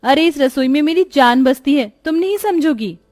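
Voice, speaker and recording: A woman's voice: high voice, expressive, moderate pace, darkish tone, dry recording. A woman voicing an earnest, sweet poor village girl in a cartoon story. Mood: indignant. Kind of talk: Hindi cartoon dialogue.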